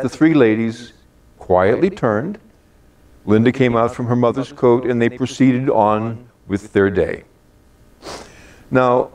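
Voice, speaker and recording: An older man in his late sixties or beyond speaks as a practiced storyteller. His pitch rises and falls a great deal, and his phrasing is measured and deliberate. The microphone sounds some way off from him. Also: distant mic. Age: late 60s or older. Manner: measured, deliberate, expressive delivery with drawn-out phrases. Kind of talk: rehearsed personal holiday storytelling to an audience.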